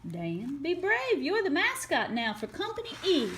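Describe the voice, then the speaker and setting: A woman's voice: high-pitched, wildly swooping pitch, moderate pace, background noise, pitched above the performer's own voice, full character voice. A woman voicing a big, protective, fatherly male soldier in a storybook, urging and encouraging as he talks. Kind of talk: storybook character reading.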